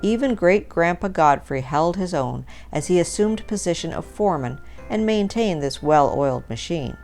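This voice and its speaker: A woman with a low voice narrates a scripted, lighthearted story. She reads aloud as a storyteller, with music playing behind her. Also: animated, normal speed, darkish tone.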